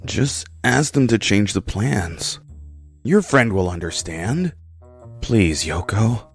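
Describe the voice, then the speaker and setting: An actor playing a meek, people-pleasing young husband who talks in a hesitant, pleading way, with faint music behind. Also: male voice, lowish voice, expressive, slow, slightly rough, heavily acted.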